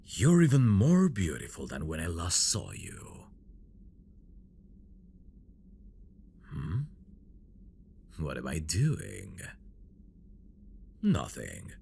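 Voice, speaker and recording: A very deep-voiced dragon prince, acted by a man, obsessive and menacing, in an intimate fantasy audio roleplay. He broods slowly and possessively, with a gravelly rasp and wide swings in intonation. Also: huge pitch swings, dark tone, slight background noise, full character voice. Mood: triumphant, possessive. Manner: slow, brooding, menacing endearments, dropping into whispers. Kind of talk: ASMR roleplay, dramatic monologue.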